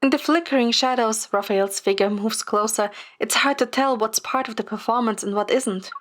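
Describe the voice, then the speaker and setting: A woman's voice: fairly high pitch, moderate pace, fairly bright tone. A woman reading scripted first-person story narration. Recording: music behind the voice. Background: music.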